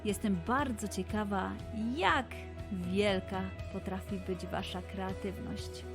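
A woman narrating and hosting a children's story podcast, deliberately addressing young listeners. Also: mid-range voice, music in the background.

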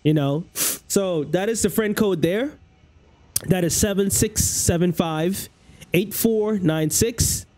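High-voiced Bahamian man streaming games. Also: slow, a little mellow. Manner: loose, rambling, repeats phrases. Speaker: game streamer. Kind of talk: casual livestream chat with viewers. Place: small room at home, indoors. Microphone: headset.

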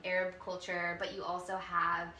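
A woman's voice: lowish voice, monotone, normal speed, pushed and bright. A young woman with a Californian accent, a guest chatting over tea, telling stories with lots of "um" and "like.". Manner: storytelling, lots of fillers like "um" and "like". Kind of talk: casual chat over tea. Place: small bright room with a tea table. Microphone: far camera. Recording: echoey room from far off, faint hiss.

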